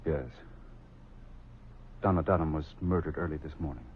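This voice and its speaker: An actor playing a suave, flirtatious wealthy shipping millionaire in a vintage radio drama, speaking in a polished, flowery manner. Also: male voice, a bass voice, normal speed, husky, exaggerated voice. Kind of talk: 1940s radio acting. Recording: dull old radio recording.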